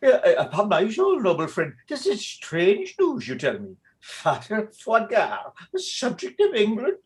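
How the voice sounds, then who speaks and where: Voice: mid-range voice, wildly swooping pitch, measured pace. A performer voicing a flustered Irish priest who pretends to be Flemish, with a thick Irish brogue and a theatrical, wheedling delivery. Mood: blustering. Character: Irish priest posing as a Flemish chaplain, male. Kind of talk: stage-play reading.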